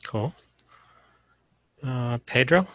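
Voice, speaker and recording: A man from Colorado teaches over a video call in an easygoing way, often pausing on "uh." His voice is bright and forward. The sound is close and dry, with almost no room in it. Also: mid-range voice, no room sound. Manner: relaxed, frequent "uh" fillers. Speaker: course instructor. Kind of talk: casual online class lecture.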